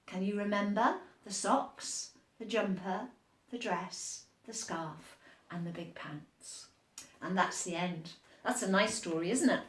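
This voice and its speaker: A woman reading picture books aloud to young children in an animated storytime style, pausing to explain and ask encouraging questions. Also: mid-range voice, echoey room, slight background noise.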